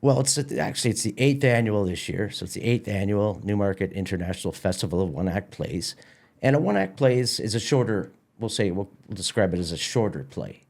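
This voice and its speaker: Man, halting conversational talker. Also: fairly low pitch, expressive, creaky, a little mellow. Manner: halting, repeats words. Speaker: guest, theatre festival organizer. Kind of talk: casual podcast interview.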